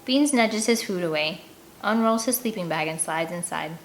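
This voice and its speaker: A woman's voice: lowish voice, animated, normal speed. Woman reading a children's audiobook over background noise. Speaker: audiobook reader. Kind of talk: scripted read-aloud narration of a first-person children's novel.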